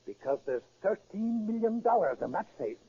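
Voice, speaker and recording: An actor playing a confident, self-assured safecracker boss in a 1930s radio drama. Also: male voice, high voice, very animated, dark tone, husky, heavily acted. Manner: brisk, self-assured, emphatic. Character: cocky, confident male safecracking gang boss. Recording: muffled 1930s radio recording.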